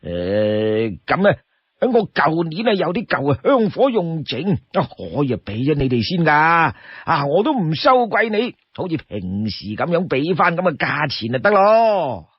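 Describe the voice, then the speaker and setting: A man voicing a talkative, obliging old local man in an audiobook story, husky, with drawn-out sighs and confiding asides. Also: on the high side, sing-song, dry recording, heavily acted. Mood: confiding, helpful. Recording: dull, lo-fi, dry studio sound.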